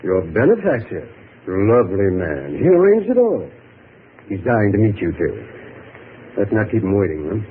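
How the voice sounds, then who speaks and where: An actor playing an oily, boastful crooked lawyer with an ingratiating, eager manner, in 1950s radio style. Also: male voice, on the low side, normal speed, pauses often. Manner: ingratiating, very animated. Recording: noisy, tinny old radio broadcast.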